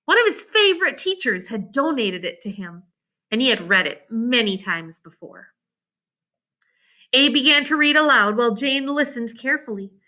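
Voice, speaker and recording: A woman's voice: on the high side, big pitch swings, normal speed, sharp tone. A woman teacher reading a children's story aloud, expressively and with teacherly emphasis.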